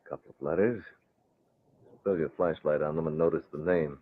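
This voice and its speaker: A man acting a brisk, commanding masked vigilante who runs a newspaper, in vintage radio-drama style. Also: extremely low pitch, slight background noise. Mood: stern. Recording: muffled phone-like old radio recording.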